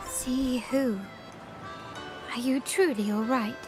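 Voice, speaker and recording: A woman's voice: sits high, steady tone, slow, over music. An actress playing a poised, courteous young noblewoman in a video game, speaking in a composed, formal manner. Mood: concerned.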